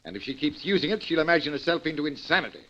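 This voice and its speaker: An actor playing an unruffled, evasive middle-aged father in an old radio drama, measured, dry and dismissive. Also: a little high, animated, sharp tone. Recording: muffled vintage radio recording. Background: piano music.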